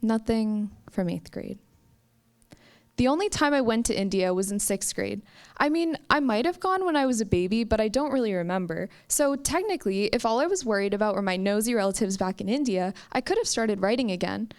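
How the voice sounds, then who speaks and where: Young woman storyteller, clear smooth voice, mid pitch, measured live delivery. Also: lively intonation, a little mellow. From United States. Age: young adult. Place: stage at a campus story event.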